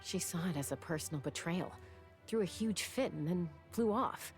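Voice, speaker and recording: A guarded young woman from a video game, speaks in a low register with a gravelly fry. She is measured and wistful, reflective as she tells her story, with soft music underneath. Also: lively intonation. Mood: regretful, resolute. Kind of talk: video game dialogue acting.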